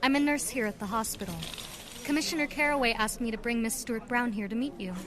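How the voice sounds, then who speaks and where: A woman playing a polite, brisk hospital nurse in an audio drama. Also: a little high, noisy background, close to an everyday voice.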